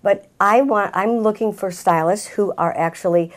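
A woman's voice: mid-range voice, moderate pace. A middle-aged woman, a salon owner, talking conversationally as a guest in a talk-show interview. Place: small TV talk-show set indoors.

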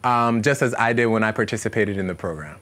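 A man's voice: lowish voice, a bit bright. Earnest young man from South Carolina. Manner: measured, earnest. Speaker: assistant project director. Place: outdoors beside a stone house. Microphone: lapel mic.

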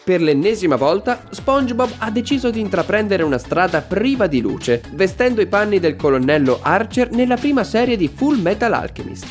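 A man in his thirties presenting a playful scripted video, chatty and animated. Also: sits high, moderate pace, hardly any pauses. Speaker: web show co-host. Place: small indoor room with shelves. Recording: roomy sound with faint music.

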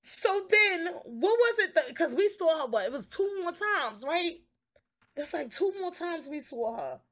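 A young woman telling a story casually on a livestream, expressive, slangy and sweary. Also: very high-pitched, unhurried. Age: young adult. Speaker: game streamer.

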